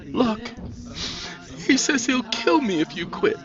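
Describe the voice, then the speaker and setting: A man's voice: extremely high pitch, sing-song, slow pace, far from the mic, voice pushed up, heavily acted. A man voicing a frightened, desperate woman who pleads urgently in a dramatic story. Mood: desperate, pleading. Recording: dull lo-fi recording with music.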